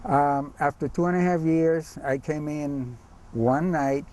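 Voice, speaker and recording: An older man from Cuba, speaking in a casual, hesitant way and often pausing with ums and uhs. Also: on the high side, steady tone, a little mellow. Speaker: visiting artist. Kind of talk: casual spoken account.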